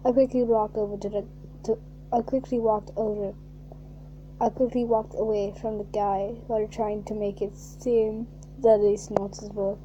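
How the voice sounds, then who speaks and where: A woman reading a fan fiction aloud in a mocking way, reading flatly and then bursting into shrieking, laughing asides. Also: mid-range voice, normal speed, dull audio, a little hiss or hum.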